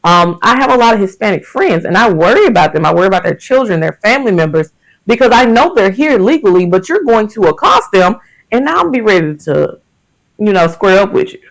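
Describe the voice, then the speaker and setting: A woman's voice: medium pitch, sing-song, fairly quick, rough recording. A woman hosting a podcast, talking candidly and emphatically straight to her listeners about faith and everyday pressures. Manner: candid, emphatic, conversational, addresses listeners directly. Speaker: podcast host. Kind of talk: candid faith and wellness monologue.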